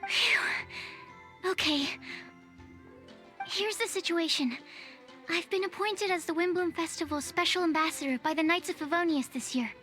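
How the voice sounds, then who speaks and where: A woman's voice: very high-pitched, unhurried, bright, forceful tone, exaggerated voice. A woman playing a shy, bookish young female alchemist in a video game, hesitant and precise like a scientist. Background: game music.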